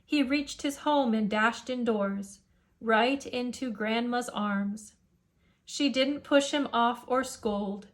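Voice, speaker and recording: A woman reading a rhyming children's picture book aloud in measured, expressive storytelling. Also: a little high.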